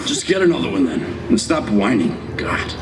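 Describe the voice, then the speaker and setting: A man playing a gruff, impatient mutant superhero with an Australian accent, curt and dismissive. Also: medium pitch, big pitch swings, normal speed. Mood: irritated. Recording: roomy, noisy, far from mic.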